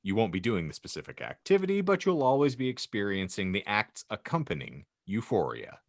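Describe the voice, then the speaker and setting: Male podcast host, low and very animated, playfully pompous and verbose, dry recording. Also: a little fast, no echo. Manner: mock-grandiose, wordy phrasing. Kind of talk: casual comedic podcast hosting.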